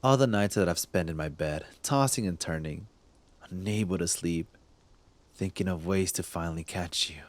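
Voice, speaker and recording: A man playing a smug cop secretly smitten with a thief in an intimate ASMR roleplay, teasing and halting, sometimes dropping to a breathy murmur. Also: low-pitched, natural voice. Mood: wistful, smitten. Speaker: voice actor in audio roleplay. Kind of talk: ASMR roleplay acting, addressed to the listener.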